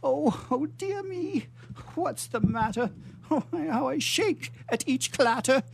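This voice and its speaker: A man's voice: very high voice, expressive, takes it slowly, gravelly, a little hiss or hum, voice pushed up, exaggerated voice. A man playing a nervous, fretful laboratory assistant in a radio melodrama, trembling and exclaiming. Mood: panicky. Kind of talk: stage melodrama radio play.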